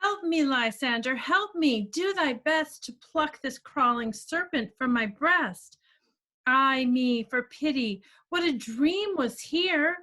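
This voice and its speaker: A woman's voice: high-pitched, expressive, slow pace, bright, forceful tone, heavily acted. A woman playing a frightened young woman in a verse play read over a video call, fearful and urgent. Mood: panicked. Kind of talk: stage verse read aloud at a table read.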